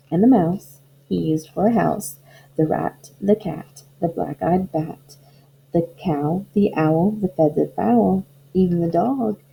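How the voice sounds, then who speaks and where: A woman teacher speaking in simple, deliberate phrases to children, as in a storybook read-aloud. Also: on the low side, gravelly. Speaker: elementary school teacher. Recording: dull lo-fi audio with faint noise.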